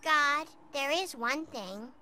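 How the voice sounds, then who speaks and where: A woman's voice: sits high, lively intonation, slow pace, over music. A woman voicing an earnest, articulate, idealistic little girl in a cartoon video game.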